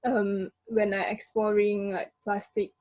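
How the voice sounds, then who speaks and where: A woman's voice: medium pitch, little pitch movement, a little mellow, dry recording. A young woman with a Malaysian accent giving an informal, hesitant student presentation over an online call. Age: young adult. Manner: hesitant, halting.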